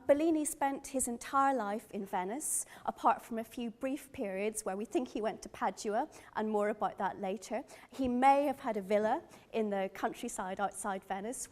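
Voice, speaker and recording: A middle-aged woman from Northern Ireland with a Northern Irish accent, giving an earnest, enthusiastic gallery lecture as a museum curator. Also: mid-range voice.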